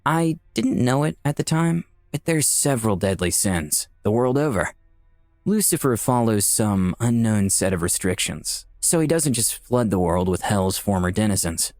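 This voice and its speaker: A man's voice: lowish voice, expressive, normal speed, a little mellow, dry recording, faint music behind. A man playing a skeptical, dryly sardonic dead man in Hell, narrating a visual novel in a wry, conversational way.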